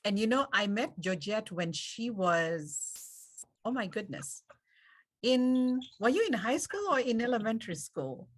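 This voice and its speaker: A woman with a Kenyan accent hosting an online panel, speaking in an encouraging, unhurried way with fillers, over a video call. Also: on the high side, sing-song. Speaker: event host. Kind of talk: warm panel moderation.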